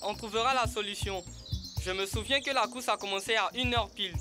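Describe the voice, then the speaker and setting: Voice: medium pitch, brisk, over music, lightly acted. An actor playing a small, eager, supportive child in an educational children's cartoon. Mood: helpful.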